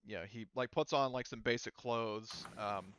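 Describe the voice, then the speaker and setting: A man running a tabletop game as game master, improvising narration in a halting, casual way. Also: medium pitch, a bit bright, slight background noise.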